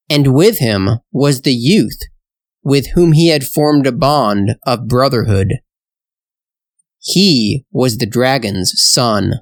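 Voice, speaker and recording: A narrator reading a children's storybook tale for language learners in a slow, deliberate, measured way. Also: mid-range voice, no echo, clean studio sound. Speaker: storyteller, English teacher.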